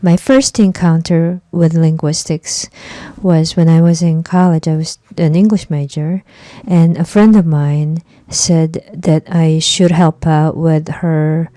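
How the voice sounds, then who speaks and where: A woman's voice: low-pitched, fairly even intonation, takes it slowly, dark tone, dry recording. A woman whose first language is Korean, giving a conversational online class lecture as an instructor and stressing points by repeating words.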